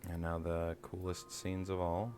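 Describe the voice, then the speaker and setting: A man with a gravelly voice giving casual, off-the-cuff commentary on a video game. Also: deep voice, completely flat delivery, a little slow. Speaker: gaming video maker.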